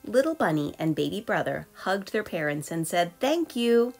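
Female children's story reader, low register, expressive and measured, light music bed. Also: gravelly. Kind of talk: expressive read-aloud for young children. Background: soft background music.

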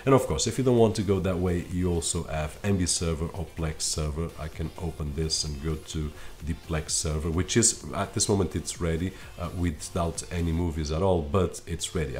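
Man, 30s, native Portuguese speaker, very low voice, chatty tech review, home office desk. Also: darkish tone. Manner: chatty, talks straight to viewers with frequent fillers.

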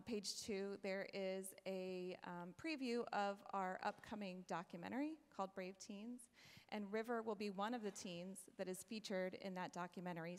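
Female host, mid-pitched, casual halting intro, big reverberant hall. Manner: casual, slightly halting with fillers. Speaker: show host. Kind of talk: stage introduction. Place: church auditorium stage. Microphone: handheld mic.

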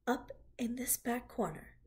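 A woman doing a gentle whispering spider. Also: expressive, a little slow. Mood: tender hushed. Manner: soft, low, often whispered. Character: gentle wise female spider, tired and calm.

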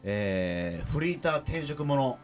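A man's voice: mid-range voice, big pitch swings, slow pace, music in the background. A man hosting a radio show, chatting and announcing segments. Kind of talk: lively chat and announcing.

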